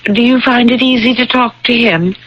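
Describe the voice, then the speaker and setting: An actress playing an earnest young woman in a radio drama, gently persistent and hesitant, with a husky voice. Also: a little high, moderate pace, a little hiss or hum, lightly acted. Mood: gentle, probing. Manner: hesitant, earnest, pleading, with stammered starts. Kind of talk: 1980s radio drama acting. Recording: dull old radio broadcast recording.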